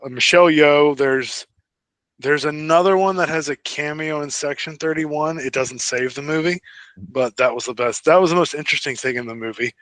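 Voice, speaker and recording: A man's voice: a little high, moderate pace, fairly bright tone, dry recording. A man co-hosting a casual fan podcast, talking informally and giving his opinions freely. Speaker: podcast co-host.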